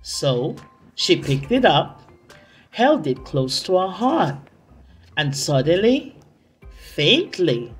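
A woman reading a children's story aloud in an expressive, dramatic, deliberate way. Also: on the low side. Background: soft music.

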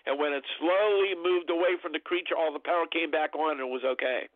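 A man's voice: a little high, steady tone, fairly quick, dry recording. An older man recounting anecdotes as an interview guest over a telephone line. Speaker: guest, paranormal researcher. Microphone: telephone call. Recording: phone-like narrow band line.

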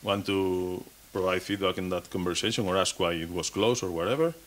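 Halting man giving a tech talk. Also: deep voice, fairly bright tone. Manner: halting, many restarts and repeated words. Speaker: conference speaker. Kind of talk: technical conference talk. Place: conference stage.

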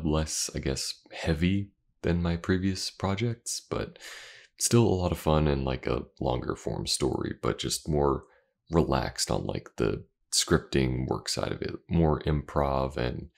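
A man who makes audio roleplays, talking casually and loosely to his listeners in a relaxed, informal way. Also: extremely low pitch, vocal fry. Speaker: voice actor and channel creator. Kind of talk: casual outro addressed to listeners.

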